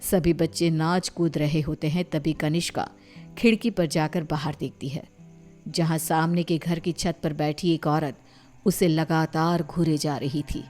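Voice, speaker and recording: A woman's voice: deep voice, steady tone, unhurried, darkish tone, soft background music. A woman narrating a scripted cartoon horror story.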